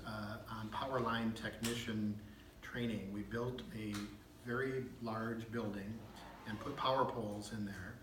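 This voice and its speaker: Folksy legislator in his fifties. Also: lowish voice. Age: 50s. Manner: folksy, anecdotal, chuckles. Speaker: state legislator. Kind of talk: town hall answer. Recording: echoey room, faint background noise.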